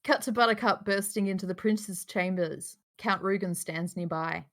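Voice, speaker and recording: A woman's voice: medium pitch, normal speed, fairly bright tone. A woman narrating the stage directions of a screenplay at a live table read.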